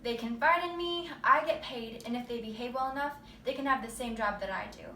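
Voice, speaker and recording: A woman's voice: a little high, brisk, sharp tone. A woman playing a conflicted, mercenary young trafficking recruiter in a rehearsed stage monologue. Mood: cold, matter-of-fact. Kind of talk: rehearsed readers theater monologue. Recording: roomy distant mic with background noise.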